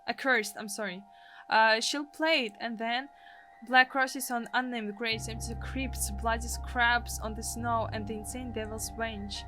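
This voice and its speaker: Bright, high-voiced woman narrating. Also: fairly even intonation, measured pace, soft background music. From Russia. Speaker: gaming video host reading aloud. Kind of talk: expressive story narration.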